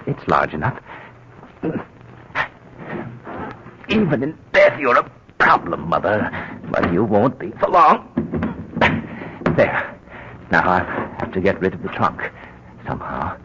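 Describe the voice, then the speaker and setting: A man playing a nervous, guilty husband who has killed his mother, stammering in 1940s radio acting style on a hissy old radio recording. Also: sits low. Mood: frantic. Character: nervous murderous middle-aged husband and son. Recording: muffled old radio recording with faint hiss.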